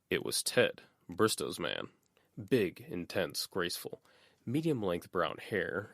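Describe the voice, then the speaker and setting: A man narrating a fan-made audiobook chapter in a steady, even reading. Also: low voice, dry recording, studio quality. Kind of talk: scripted narration.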